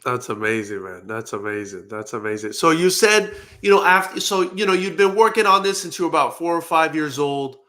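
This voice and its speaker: A man's voice: on the high side, animated, a little fast, few pauses, sharp tone. A man hosting an interview, enthusiastic and rambling, with many fillers as he asks questions. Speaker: interview host. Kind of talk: casual interview.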